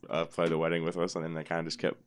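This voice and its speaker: A man's voice: low-pitched, completely flat delivery, very fast, crowd noise. A young man, a band guitarist, speaking casually in a group interview. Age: young adult.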